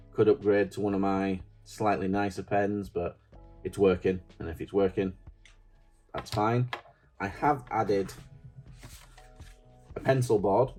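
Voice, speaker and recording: A man's voice: deep voice, darkish tone. A middle-aged man with a Yorkshire accent, casually showing off his stationery in a chatty, rambling, self-deprecating way. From Yorkshire, England. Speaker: stationery vlogger. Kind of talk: casual show-and-tell.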